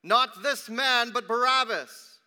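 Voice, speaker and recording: A man playing an angry, clamoring mob of accusers in a scripture reading, with loud, drawn-out cries, in an echoing hall. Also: a little high, clean tone. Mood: shouting. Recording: long reverberant hall echo.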